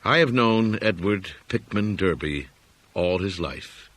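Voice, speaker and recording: A man with a low, somewhat husky voice reads a horror story aloud. His delivery is solemn and deliberate, in the measured style of scripted audiobook narration. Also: expressive, a bit bright. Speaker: audiobook reader.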